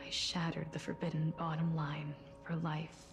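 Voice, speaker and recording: A woman playing a sorrowful, soft-spoken demigod of death in a video game, solemn and breathy. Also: sits low, completely flat delivery, moderate pace. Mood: grieving, bitter. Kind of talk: English video game voice acting, slow storytelling. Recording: game dialogue under loud music.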